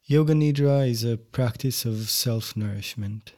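Male meditation teacher, mid-range pitch, very slow pace, gentle, measured guided instruction. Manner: gentle, unhurried, measured phrasing. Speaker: yoga nidra meditation teacher.

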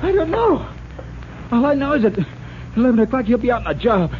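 An actor playing a frightened, defiant small-time crook with slangy, ungrammatical tough talk in a 1940s radio crime drama. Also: male voice, very high-pitched, very animated, a little fast. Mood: panicked, pleading. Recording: noisy, dull old radio recording.

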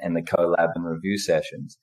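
A man with a low voice chats casually as a podcast guest. He talks in a relaxed, unhurried way and often pauses on fillers as he gathers his thoughts. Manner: relaxed, hesitant, lots of fillers. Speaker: podcast guest, book club founder. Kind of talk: casual conversation.

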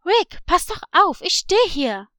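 A woman plays a headstrong little animal girl from a children's story. She is brusque and determined, with a high pitch and a dry sound. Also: normal speed, a bit bright, no echo. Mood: scolding.

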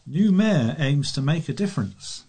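A man's voice: on the high side, very animated, normal speed, darkish tone, breathy edge. A British man reading scripted local news as a volunteer newsreader.

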